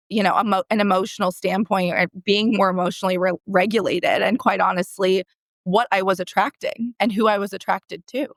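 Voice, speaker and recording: An American woman in her early thirties speaks as a podcast guest at a medium pitch. Her delivery is casual and wandering, with points repeated for emphasis and corrected midway. The sound is dry and close. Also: no room sound. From San Diego, California, United States. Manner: rambling, self-correcting, emphatic repetition. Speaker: guest, therapist. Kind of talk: casual conversational interview.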